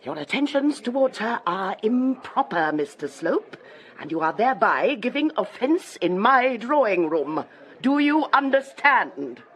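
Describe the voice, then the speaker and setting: Imperious, scolding English matron, swooping voice. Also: female voice, a little high, very animated, unhurried. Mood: scandalised, reproving. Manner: haughty, scolding, emphatic formal diction. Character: imperious, puritanical middle-aged bishop's wife, indignant and domineering. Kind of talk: period radio drama acting.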